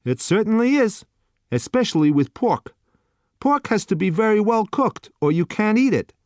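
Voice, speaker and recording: A man voicing a gruff, impatient male restaurant waiter who answers bluntly and loudly. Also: sits high, big pitch swings, normal speed, no room sound, clean studio sound, pitched above the performer's own voice. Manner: blunt, curt, loud.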